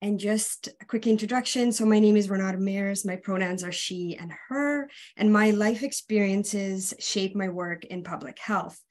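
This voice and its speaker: A woman's voice: medium pitch, moderate pace, hardly any pauses, a little mellow. A woman from Romania whose first language is Hungarian, presenting a webinar over a video call in a conversational way with frequent ums. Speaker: guest speaker, public health consultant. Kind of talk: casual presentation.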